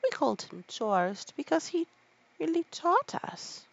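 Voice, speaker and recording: A woman's voice: sits high, very animated, unhurried, creaky, muffled recording, voice pushed up, full character voice. A woman voicing a weepy, sighing old male turtle creature in a storybook reading. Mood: plaintive.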